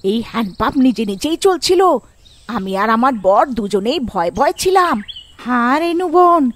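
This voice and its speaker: Woman doing chatty high-pitched village housewife. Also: very animated, moderate pace. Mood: nervous gossip. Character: gossipy, timid village housewives in a fairy-tale cartoon.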